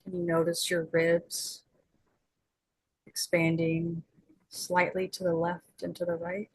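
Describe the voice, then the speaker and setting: A woman leading a guided meditation as its guide, speaking in gentle, soothing invitations with long pauses. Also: fairly low pitch, monotone, breathy edge, poor audio.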